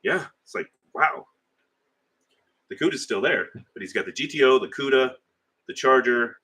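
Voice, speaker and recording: A man's voice: mid-range voice, lively intonation, normal speed, sharp tone, slightly rough. A middle-aged man hosting a casual livestream, rambling and conversational with frequent fillers as he answers viewer comments. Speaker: livestream host. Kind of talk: casual live chat reading viewer comments.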